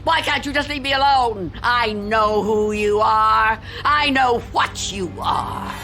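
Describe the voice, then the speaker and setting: An actress playing a frightened, suspicious old recluse in a radio drama, distrustful and pleading. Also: female voice, a little high, slow, bright, forceful tone, soft background music, heavily acted, poor audio. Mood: accusing.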